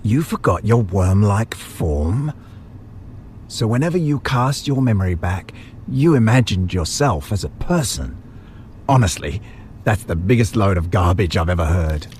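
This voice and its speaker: Man playing a low-voiced, theatrical maniacal butcher villain. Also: expressive, measured pace, darkish tone, a little hiss or hum. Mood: dismissive. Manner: theatrical, condescending. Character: maniacal mad butcher, gleeful and condescending villain. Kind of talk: audio drama acting. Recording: music under the voice.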